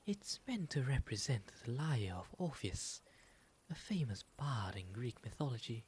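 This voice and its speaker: A man voicing a gentle, refined owl demon in an ASMR roleplay, with soft, breathy, soothing, formally polite speech. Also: mid-range voice, sing-song. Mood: softly wistful. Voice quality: soft, breathy, often whispery. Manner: soothing, genteel, unhurried formal phrasing. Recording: noticeable ambient background noise.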